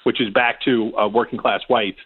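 A man's voice: mid-range voice, fairly even intonation, normal speed, slightly rough. A male guest analyzing politics in an analytical, wry manner. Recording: phone-line sound.

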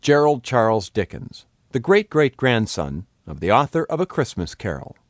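A man interviewing on the radio in a measured way. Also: mid-range voice, sing-song, no room sound, studio quality.

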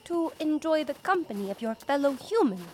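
A woman's voice: high voice, sing-song, moderate pace, mellow, a little hiss or hum. A woman playing a naive, literal-minded naturalist in an audio drama, speaking formally and precisely as she studies human customs. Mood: puzzled.

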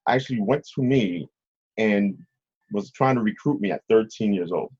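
A middle-aged man telling stories casually as an interview guest, husky, rambling and often checking in with the listener. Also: lowish voice, normal speed, darkish tone. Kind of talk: casual conversational storytelling. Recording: slightly dull, lo-fi audio.

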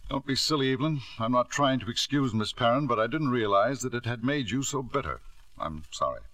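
An actor playing a wealthy, controlling middle-aged husband with a suave, clipped manner in a 1950s radio drama. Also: male voice, medium pitch, expressive, a little fast, fairly bright tone, no echo, lightly acted. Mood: defensive, irritated. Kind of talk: 1950s radio drama acting with first-person narration. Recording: vintage 1950s radio broadcast.

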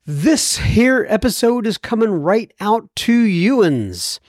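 High-pitched, folksy American podcast host. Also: male voice, sing-song, slow, no room sound, clean studio sound. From United States. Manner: folksy, chatty, rambling. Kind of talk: casual podcast intro and interview.

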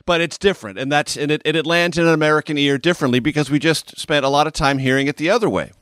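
A man hosting an interview podcast, asking long explanatory questions in a measured way. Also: fairly high pitch, fairly bright tone, clean tone, no echo, clean studio sound. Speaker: podcast host.

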